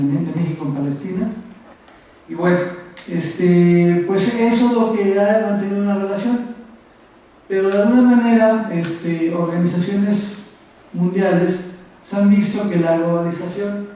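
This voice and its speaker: A very echoey, distant recording with faint noise carries a man speaking at a conference. His Mexico City accent comes in a high-pitched voice. He presents informally and haltingly, repeating words as he goes. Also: warm tone. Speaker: activist speaker on a conference panel. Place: university auditorium.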